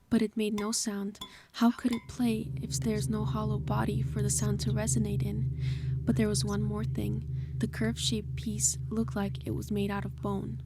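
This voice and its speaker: A woman narrating a scripted horror story in a measured storytelling manner. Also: mid-range voice, background noise. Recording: clear music behind the voice. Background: music.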